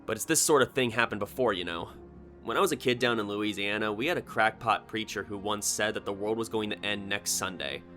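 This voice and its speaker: A man voicing a gruff, weary, sceptical American engineer in a story, with a dry, grumbling manner. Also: fairly low pitch, a little fast, pushed and bright, faint music behind. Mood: resigned. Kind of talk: short story character dialogue.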